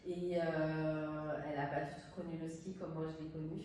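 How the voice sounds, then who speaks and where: A woman's voice: low voice, little pitch movement, moderate pace, a little mellow. Middle-aged woman in a very echoey room. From southern France. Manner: hesitant, searching for words. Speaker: tourist office director, interviewee. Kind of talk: spontaneous interview talk. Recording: very echoey room, distant mic, faint noise.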